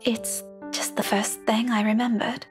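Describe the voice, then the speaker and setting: Actress as a high-voiced, wistful young woman. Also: flat delivery, measured pace, over music, close to an everyday voice. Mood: wistful, subdued. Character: young woman, tearful, tender, resigned farewell. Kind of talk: visual novel voice acting.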